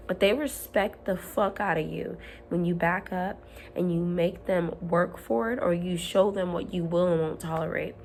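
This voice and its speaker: A woman at home, hoarse while her voice comes back, giving an earnest, rambling motivational talk to camera with frequent ums and restarts. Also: fairly low pitch, a little hiss or hum. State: hoarse, recovering from lost voice. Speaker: self-help vlogger.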